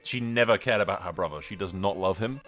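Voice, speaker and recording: A man's voice: sits low, fast talker, a bit bright. A man giving casual, informal commentary on a roleplay game, thinking aloud and slipping into storytelling. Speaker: gaming video host. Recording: music behind the voice.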